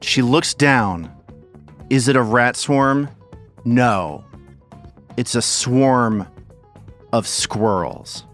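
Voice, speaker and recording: Male game master, slow and deliberate, dry humor. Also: medium pitch, lively intonation, a bit bright, soft background music. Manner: measured, dramatic narration with dry humor. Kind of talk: narrating a tabletop game.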